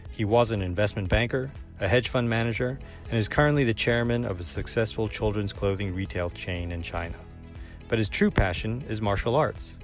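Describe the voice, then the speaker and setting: A man hosting a podcast, introducing the show and his guest in a measured, scripted way. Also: lowish voice. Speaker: podcast host. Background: faint music.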